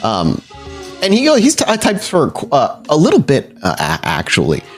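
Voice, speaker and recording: A man narrating a tabletop roleplaying game as game master, improvising in an animated, halting way. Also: medium pitch, faint music behind.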